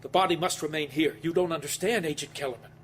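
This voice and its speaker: An actor playing an indignant, officious medical examiner, protesting formally and emphatically in an audio drama. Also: male voice, a little high, quick pace, a bit bright, a little hiss or hum, lightly acted. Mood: outraged, insistent.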